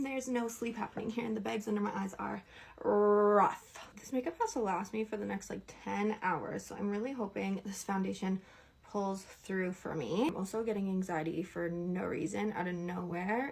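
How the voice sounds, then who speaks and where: A woman's voice: medium pitch, moderate pace, vocal fry, a little mellow, breathy edge. A Canadian woman chatting casually through a makeup tutorial, playful and slangy, with statements that rise like questions. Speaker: beauty content creator.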